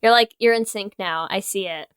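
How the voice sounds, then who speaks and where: Woman co-host, casual and animated, very smooth clean tone, recorded dry. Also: medium pitch, normal speed, dry recording. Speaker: podcast co-host. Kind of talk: casual chat.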